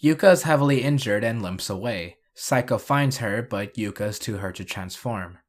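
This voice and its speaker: A man's voice: on the low side, normal speed. A man narrating a scripted video review and recap, with dry, sarcastic asides.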